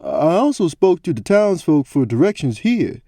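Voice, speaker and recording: A man's voice: a little high, big pitch swings, moderate pace, mellow, dry recording. A man playing a nervous, polite, scaly reptilian priest who stammers and talks in a folksy way in a fantasy audio drama. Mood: nervous, defensive. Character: nervous, evasive, polite male reptilian priest with scales and tail.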